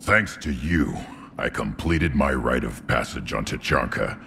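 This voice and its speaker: Gruff krogan soldier for a game, super low gravelly voice, pitch swings all over. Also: male voice, animated, measured pace, a bit bright, in a large hall, a little hiss or hum, full character voice. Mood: proud. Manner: gruff, blunt soldier talk. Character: young male krogan warrior, brash and proud. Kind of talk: video game voice acting.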